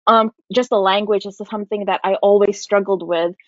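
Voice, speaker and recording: A woman in her late twenties, raised in Kuwait, telling stories in a casual chat, animated and full of restarts. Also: medium pitch, fairly quick, dry recording, muffled recording. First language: Tagalog. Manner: animated storytelling with many likes and restarts. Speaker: podcast guest.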